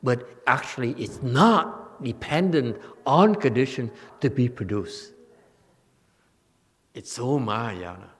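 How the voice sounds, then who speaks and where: A man giving a Buddhist scripture lecture as a teacher, halting and repetitive, often checking that listeners follow. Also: medium pitch, lively intonation, a bit bright. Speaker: Buddhist teacher, dharma host. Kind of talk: sermon, explanatory scripture lecture. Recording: long echoing hall reverb.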